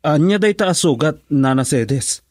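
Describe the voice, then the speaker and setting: A man playing an uneasy, evasive, irritable husband in a radio drama, with a hesitant, halting delivery. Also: a little high, lively intonation, a little mellow, no echo, clean studio sound, natural voice. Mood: nervous, flustered.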